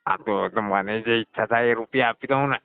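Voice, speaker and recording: A man's voice: lowish voice, brisk, gravelly, dry recording. A man playing a cheeky, evasive garage customer, nonchalantly needling and stalling in a scripted comedy phone call. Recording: dull lo-fi phone call recording.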